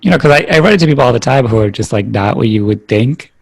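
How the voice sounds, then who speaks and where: A man's voice: mid-range voice, expressive, quick pace, creaky, husky. A man hosting a podcast, talking in a reflective, thinking-aloud way with many fillers. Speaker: podcast host. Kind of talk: casual interview chat.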